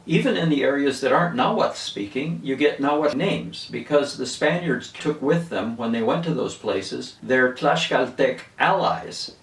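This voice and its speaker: A man's voice: mid-range voice, vocal fry. A man in his seventies who grew up in Venezuela, unhurriedly reminiscing and explaining words in a casual storytelling way. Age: 70s. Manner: unhurried, reminiscing, chuckles at his own stories. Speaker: linguistics video host. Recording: roomy echo, mic at a distance.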